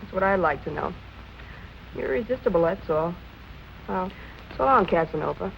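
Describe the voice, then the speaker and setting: A woman's voice: fairly low pitch, lively intonation, moderate pace, vocal fry, slight background noise, rough recording. An actress playing a wry, wisecracking nightclub photographer girl in a 1940s film noir style. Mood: teasing.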